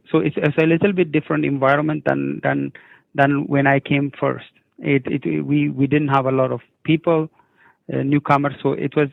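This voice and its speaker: A middle-aged man with an Ethiopian accent answers interview questions haltingly, repeating words and stammering on word starts, on a narrow lo-fi recording. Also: fairly high pitch, vocal fry, no room sound. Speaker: newcomer program coordinator, interview guest.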